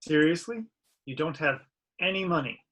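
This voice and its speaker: A man's voice: a little high, expressive, normal speed, a bit bright. A man playing an impatient, sarcastic sorcerer in an amateur table read.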